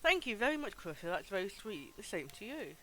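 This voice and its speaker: A man's voice: sits high, very animated, fairly quick, pushed and bright, poor audio. A man hosting a livestream, giving casual, informal game commentary with some swearing. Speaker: livestream host.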